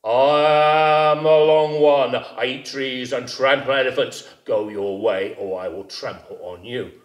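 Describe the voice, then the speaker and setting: A hidden storybook beast that booms its threats slowly and heavily, as a male storyteller puts it on. Each word is drawn out, and the room gives it an echo. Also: lightly acted. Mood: menacing. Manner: slow, threatening proclamation. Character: huge hidden beast, menacing and booming. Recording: echoey room.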